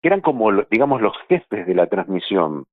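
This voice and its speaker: A man's voice: lowish voice, very animated, brisk, no room sound. A radio announcer, a man, recalling and explaining things conversationally, correcting himself as he goes. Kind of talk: conversational recollection. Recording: dull dry lo-fi sound.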